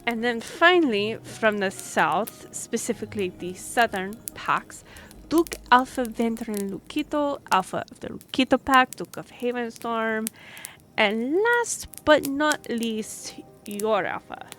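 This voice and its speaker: Woman playing a polite half-elf envoy, formal bit hesitant, higher voice, fantasy audio rp, faint music. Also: lively intonation, takes it slowly, a bit bright. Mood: formal, explanatory. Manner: formal, explanatory, hesitant at times. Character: courteous half-elf woman, royal liaison of a queen. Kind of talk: fantasy roleplay audio drama.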